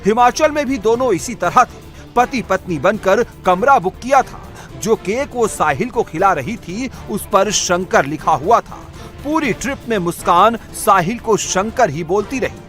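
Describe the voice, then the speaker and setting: High, bright, animated man narrating crime news. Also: unhurried. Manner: sensational, emphatic delivery. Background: background music.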